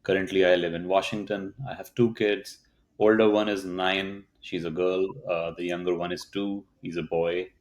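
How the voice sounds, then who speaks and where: Man from northern india, very low voice, parent guest. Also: level tone, moderate pace. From Rajasthan, India. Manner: brief plain statements.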